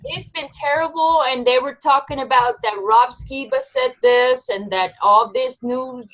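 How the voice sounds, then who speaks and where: A woman's voice: sits high, expressive, a little slow, a bit bright, a little hiss or hum. A woman from Rico, a Spanish speaker, talking earnestly on a group video call and sometimes searching for words. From Puerto Rico. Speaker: call participant. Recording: dull lo-fi call audio.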